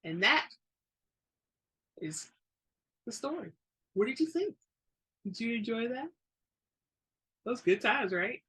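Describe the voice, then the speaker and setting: A woman narrating a story in an expressive, theatrical way, as the reader and host. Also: on the high side, takes it slowly, long pauses, breathy edge. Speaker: book reader and channel host. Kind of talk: dramatic audiobook narration.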